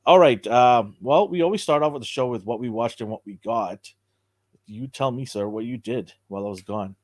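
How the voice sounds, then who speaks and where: A man hosting a casual live podcast, upbeat and chatty, his voice a bit hoarse from cheering. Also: mid-range voice, normal speed, darkish tone, dry recording, studio quality. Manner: upbeat, chatty, thanks viewers. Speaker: podcast host. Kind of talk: casual live-stream chat.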